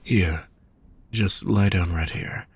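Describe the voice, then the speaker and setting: Deep, husky gentle demon lover, acted by a man. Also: heavily acted. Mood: soothing, tender. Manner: soft, reassuring, unhurried coaxing. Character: gentle, teasing, protective male demon lover at a fantasy brothel. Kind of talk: intimate roleplay audio, addressing the listener.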